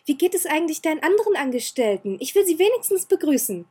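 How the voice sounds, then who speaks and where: A woman voicing a cheerful, eager young noble girl who talks in a bright, pleading sing-song. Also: high voice, animated, moderate pace, voice pushed up. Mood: excited.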